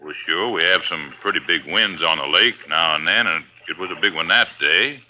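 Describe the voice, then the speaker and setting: A man's voice: low-pitched, normal speed, close to an everyday voice. A man playing a plain-spoken, skeptical lake boatman in 1950s radio drama style. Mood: wry, doubtful. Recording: muffled, noisy old radio recording.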